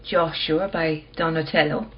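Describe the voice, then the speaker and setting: Woman playing a low-voiced, cryptic art dealer. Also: flat delivery, a little slow, dull audio. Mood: enigmatic. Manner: terse, controlled, enigmatic. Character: cool, cryptic female dealer in lost art treasures. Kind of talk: radio play acting.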